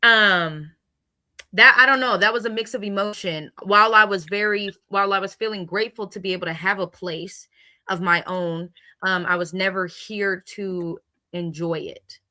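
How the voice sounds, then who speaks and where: An American woman in a casual chat, reflecting on her own life in a candid, expressive and emphatic way. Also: medium pitch, moderate pace. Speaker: co-host.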